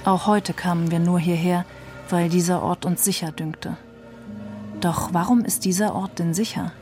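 A woman reading literary prose in a measured, solemn manner for a radio feature. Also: fairly low pitch, steady tone, a little mellow. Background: music under the reading.

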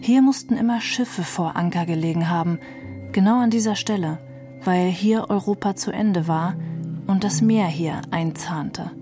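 A woman's voice: fairly low pitch, normal speed, dark tone, soft background music. A woman giving a literary reading of a novel for a radio feature. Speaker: reader of a novel.

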